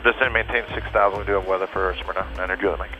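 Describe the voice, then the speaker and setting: Quick, low-voiced man flying a small plane. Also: steady tone, bright, forceful tone, muffled recording, faint music behind. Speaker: private pilot, channel host. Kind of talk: cockpit chat and radio calls. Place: small aircraft cockpit.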